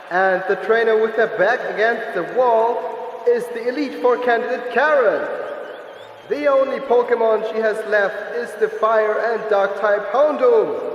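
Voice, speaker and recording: A man playing a dramatic, excitable commentator at an anime battle tournament, announcing in a declamatory way. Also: extremely high pitch, unhurried, lo-fi sound. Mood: dramatic, hyped. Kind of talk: anime-style sports commentary, fan dub. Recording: echoey arena-like reverb, faint noise.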